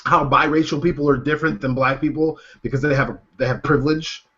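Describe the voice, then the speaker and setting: A man hosting a podcast in casual chat, blunt and profane, talking with animated emphasis. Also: on the high side, quick pace. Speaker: podcast host. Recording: dull lo-fi podcast audio.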